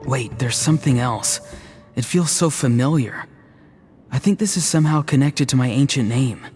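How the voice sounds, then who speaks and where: A man's voice: medium pitch, normal speed, close to an everyday voice. A man voicing an easygoing, thoughtful, slightly cheeky young tribesman in a video game. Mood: curious. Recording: reverberant, soft music behind.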